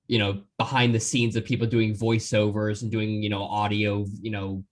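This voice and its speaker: A man conducts a casual podcast interview in a fairly low voice. He rambles a little, working his way toward each question in a roundabout manner. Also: level tone, moderate pace.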